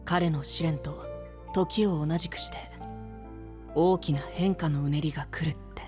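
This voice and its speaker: Man acting a gentle young seer, music behind. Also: a little high, steady tone, lightly acted. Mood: gentle foreboding. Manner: soft, measured, soothing speech. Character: gentle, enigmatic young male stargazing seer. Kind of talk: Japanese anime voice drama acting.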